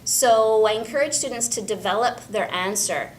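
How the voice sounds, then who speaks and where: A woman English instructor clearly explaining a practical tip on speaking tests. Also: a little high, normal speed, a little hiss or hum, rough recording. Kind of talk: teaching tip for a school video.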